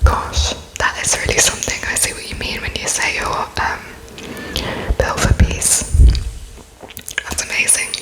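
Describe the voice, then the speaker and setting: A woman whispering an ASMR roleplay as an interviewer, halting and pausing as she takes notes, politely echoing answers back. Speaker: ASMR performer playing an interviewer.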